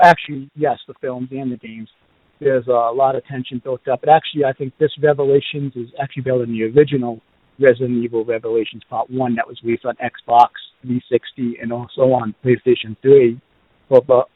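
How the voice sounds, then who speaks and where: A man's voice: mid-range voice, no echo. Boston man with tinny phone-like sound. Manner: rambling, many ums and uhs. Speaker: podcast host. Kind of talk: casual review chat.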